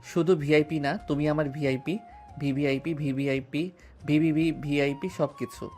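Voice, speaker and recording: A man's voice: fairly high pitch, steady tone, unhurried, a little mellow, natural voice. An actor playing a playful, persistent young man who courts a woman with teasing affection. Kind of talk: Bengali audio drama acting. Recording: music underneath.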